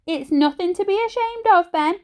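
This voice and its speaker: A woman's voice: extremely high pitch, expressive, normal speed, darkish tone, voice pushed up. A woman voicing a well-meaning, nosy mum in a children's story, with a sing-song, insistent manner. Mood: coaxing, reassuring.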